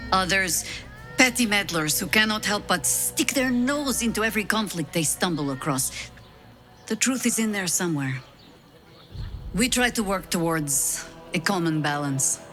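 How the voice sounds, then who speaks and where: An actress voicing a weary, wry middle-aged woman agent in a video game, measured and self-deprecating, over music. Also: mid-range voice, lively intonation, fairly bright tone. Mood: dry amusement. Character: weary, wry veteran high-ranking woman harper agent. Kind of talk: video game dialogue acting, reflective storytelling.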